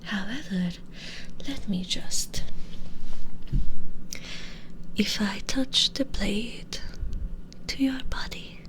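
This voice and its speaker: A woman playing a sadistic, vengeful, centuries-old vampire in an intimate ASMR roleplay, taunting condescendingly in breathy half-whispers on a close binaural mic. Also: medium pitch, a bit bright, slight background noise, low-quality recording. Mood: sadistic, teasing. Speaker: audio roleplay performer. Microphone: close binaural microphone.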